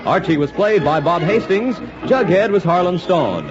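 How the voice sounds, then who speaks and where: A man's voice: sits high, expressive, normal speed. A man with an American accent delivering scripted 1940s radio announcements in a polished, emphatic way. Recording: muffled 1940s radio recording with music.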